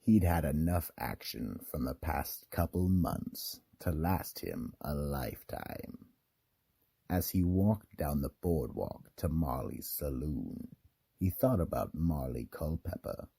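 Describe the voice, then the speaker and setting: A man narrating a scripted radio mystery drama in a theatrical, deliberate storytelling manner. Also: very deep voice, expressive, creaky, dark tone, husky, no room sound, clean studio sound. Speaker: narrator and host.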